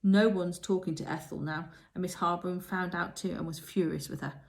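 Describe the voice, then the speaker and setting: A woman voicing an excitable, bossy young schoolgirl witch, emphatic and gossipy, in a children's storybook. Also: lowish voice, brisk, gravelly.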